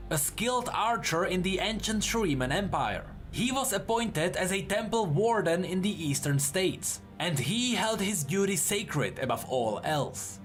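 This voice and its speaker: A man reading scripted fantasy lore as a narrator, in a measured, dramatic storytelling manner. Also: high-pitched.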